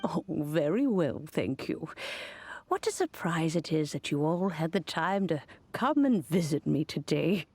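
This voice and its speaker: An actress playing a gentle, wise elderly woman master in a video game, speaking in a genteel, measured storytelling manner. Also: low-pitched, sing-song, husky, exaggerated voice. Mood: pleasantly surprised.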